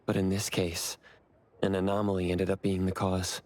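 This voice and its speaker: A man's voice: deep voice, completely flat delivery, moderate pace, a little mellow, natural voice. A man playing an earnest, reserved young man in an anime game, speaking sincerely and with understatement. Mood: reflective. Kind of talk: anime game voice acting, conversational.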